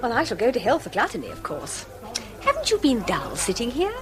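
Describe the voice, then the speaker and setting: An actress playing a sociable middle-aged English wife and mother in a period radio drama, smoothing things over. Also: fairly high pitch, huge pitch swings, fairly quick, music in the background. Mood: cheerful, coaxing. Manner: coaxing, placating.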